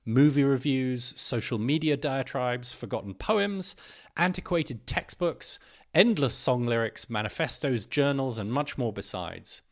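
Man reading aloud with lively intonation. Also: mid-range voice, a little slow. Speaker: article narrator. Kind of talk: scripted narration, reading an article aloud.

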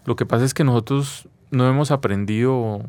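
Male Colombian expert guest, mid-pitched, didactic and conversational, very dry recording. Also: brisk, darkish tone, no room sound. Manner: didactic, often checks with a tag question. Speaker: biologist, interview guest. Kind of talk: conversational explanation in an interview.

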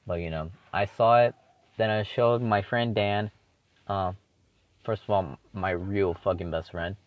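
A man's voice: on the low side, measured pace, no echo, phone-quality sound. Swearing teen guy rambling casually. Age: teens. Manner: rambling, swears a lot, many fillers and restarts. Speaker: solo podcaster.

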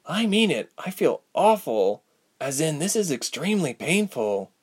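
Storybook role: a man doing an injured young woman, soft and strained, voice pushed well up. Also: fairly high pitch, big pitch swings, a little slow, slightly rough, voice pushed up, heavily acted. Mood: pained. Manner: strained, quiet, pained. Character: wounded young woman, tired and guarded.

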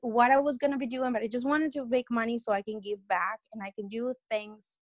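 Young woman from peru rambling thru her story, kinda high voice, dry mic. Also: fairly even intonation, fairly quick, dry recording. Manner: rambling, many fillers and restarts, earnest. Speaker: podcast guest. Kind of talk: casual interview storytelling.

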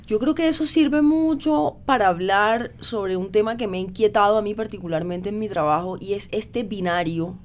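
A woman's voice: medium pitch, normal speed, hardly any pauses, slight background noise. A woman from the coast, speaking as a guest author in an easygoing discussion, with articulate, academic phrasing. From Cartagena, Colombia. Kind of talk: conversational discussion.

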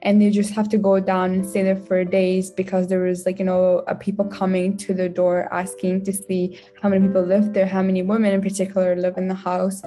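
Young woman, soft and even. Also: medium pitch, a little fast, hardly any pauses. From Afghan family, born in Pakistan, grew up there and in Canada. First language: not English. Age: 20s. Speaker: interview guest. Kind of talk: personal storytelling in a podcast interview.

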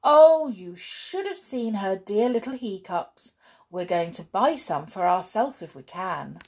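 Lady doing chirpy polite little brownie folk, kinda husky, voice jumps around a lot. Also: medium pitch, expressive, unhurried, darkish tone. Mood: chatty. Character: six cheerful little brownies, fairy folk.

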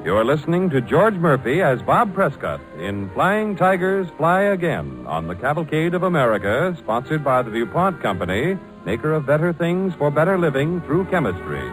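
Animated 1940s male radio announcer over music. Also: fairly high pitch, moderate pace, low-quality recording.

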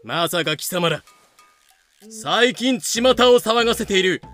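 A man's voice: high voice, expressive, slow, fairly bright tone, full character voice. An actor playing a pompous, suspicious male fort guard captain who blusters and domineers in an audio drama. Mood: haughty, suspicious. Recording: music bed behind voice.

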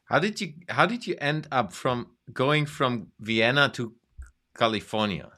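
A man's voice: mid-range voice, lively intonation, unhurried, bright, forceful tone. A man hosting a podcast interview over a video call, asking questions in a friendly way and adding short affirmations. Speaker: podcast host.

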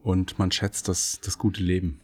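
Low-voiced middle-aged German law professor. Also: male voice, completely flat delivery, measured pace. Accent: standard German with faint Hessian. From Hesse, Germany. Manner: chatty storyteller, laughs easily. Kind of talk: relaxed podcast conversation.

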